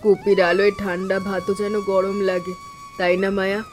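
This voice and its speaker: A woman playing a calm, steadfast elder sister-in-law in an animated village story, speaking in a composed, firm and reassuring way. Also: mid-range voice, normal speed, faint music behind, close to an everyday voice. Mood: calm, weary.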